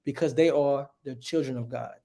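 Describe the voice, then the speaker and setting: A man's voice: mid-range voice, normal speed, vocal fry, warm tone. A man teaching a livestreamed religious history class, reading passages aloud and preaching emphatically, often checking with "right?".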